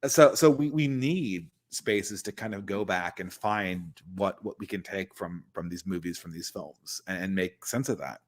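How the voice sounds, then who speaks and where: Man, low voice, host, casual live stream, rambling with restarts. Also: measured pace, few pauses. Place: home.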